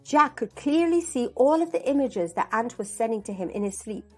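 A woman's voice: on the high side, normal speed, breathy edge. A middle-aged woman hosting and reading a children's storybook aloud, expressively. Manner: expressive, addressing children directly. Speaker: storyteller and host. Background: background music.

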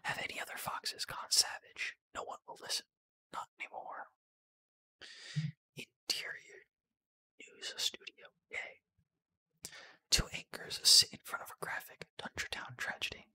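A person whispering as they read a film screenplay aloud in an ASMR style, narrating and voicing the parts with a breathy voice. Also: measured pace, pauses often, pushed and bright, rough recording.

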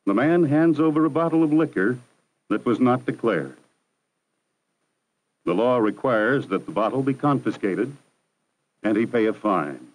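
A man narrating a 1960s educational film in a measured, formal, instructive manner. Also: medium pitch, expressive, low-quality recording. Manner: measured, formal, didactic delivery. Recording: 1960s archival film soundtrack.

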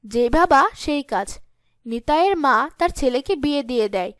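A woman's voice: high-pitched, lively intonation, normal speed, a bit bright, no echo, clean studio sound. A woman reading scripted storytelling narration for a cartoon.

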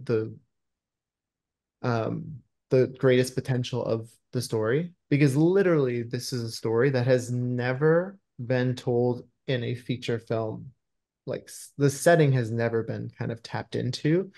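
Male interview guest, medium-pitched voice, conversational delivery over a video call. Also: unhurried, vocal fry, a little mellow. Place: indoor room, video call. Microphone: video call microphone.

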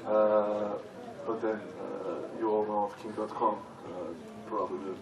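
A man from Serbia, speaking haltingly as a conference panelist. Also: on the low side, little pitch movement, gravelly, crowd noise. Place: large conference hall with audience. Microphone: stage microphone heard from far off. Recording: echoey hall, distant, audience noise.

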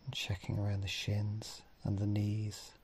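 A man leading a guided body scan meditation in gently instructing, unhurried phrases with long pauses, his husky, breathy voice often trailing off into a near-whisper. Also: lowish voice, monotone, slight background noise. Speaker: mindfulness meditation teacher.

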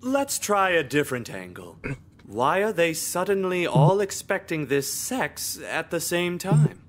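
An actor playing a smarmy, predatory school counselor in a visual novel, smooth-talking, insinuating and condescending. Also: male voice, a little high, very animated, unhurried. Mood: smug, patronizing. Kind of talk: visual novel game voice acting.

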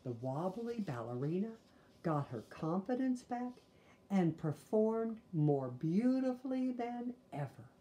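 A woman's voice: mid-range voice, very animated, takes it slowly, warm tone. A woman in her sixties reading a children's story aloud expressively, with small asides to listeners, in a living room. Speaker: storybook reader. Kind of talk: children's read-aloud narration.

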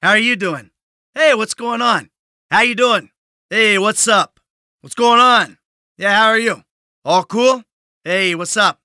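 A cynical middle-aged American gangster from a video game, sardonic and easily irritated. The voice is sharp and gritty with a creaky edge, recorded very dry. He delivers short, blunt tough-guy lines without hurry. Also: sits high, a little slow, pauses often, no echo, exaggerated voice. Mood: sarcastic, casual.

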